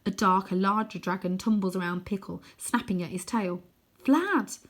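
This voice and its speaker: A woman reading a children's novel aloud as expressive narration, with dramatic, animated emphasis. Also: mid-range voice, a little fast.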